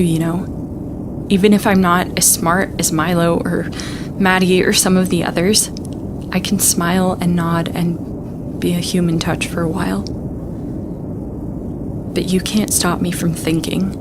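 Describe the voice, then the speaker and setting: An actress playing a wary, stubborn starship captain in an audio drama, speaking in a halting, confiding way. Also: female voice, fairly low pitch, background noise. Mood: quietly defiant. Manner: halting, confiding, self-doubting. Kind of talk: audio drama monologue and dialogue. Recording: loud music under the voice. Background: loud music.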